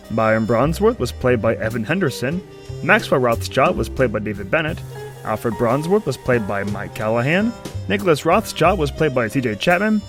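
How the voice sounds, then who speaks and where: A man reading scripted closing credits as an announcer for an audio drama. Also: medium pitch.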